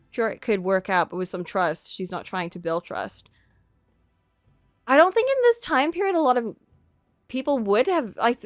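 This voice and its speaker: A woman's voice: lowish voice, no echo. A woman streaming casual, opinionated commentary on a story game, thinking aloud in a conversational way.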